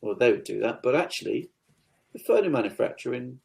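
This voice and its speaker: A man hosting a podcast interview, agreeing keenly and thinking out loud. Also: medium pitch, very animated, fast, creaky. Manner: enthusiastic, agreeable, thinks aloud. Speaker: podcast host. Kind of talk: casual interview chat.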